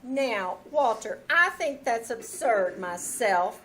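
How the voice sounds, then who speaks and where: A woman's voice: fairly high pitch, animated, takes it slowly, fairly bright tone. A woman playing a kindly, slightly dotty older lady, chatty and fussing, in old-time radio comedy style. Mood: cheerfully fussing. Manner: motherly, chatty. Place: small town theater stage.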